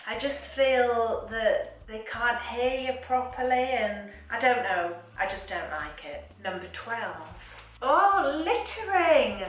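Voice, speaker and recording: Slow-talking woman in an echoey room. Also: on the high side, a bit bright. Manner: exasperated, wry complaining. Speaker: vlogger. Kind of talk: casual chatty monologue. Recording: very echoey room, voice from a distance, faint music.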